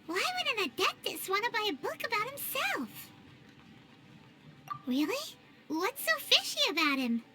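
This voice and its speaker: A woman's voice: very high-pitched, big pitch swings, a little slow, pauses often, over music, exaggerated voice. A woman voicing a small, chirpy fairy girl companion in a video game, childlike and exclamatory. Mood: curious, suspicious.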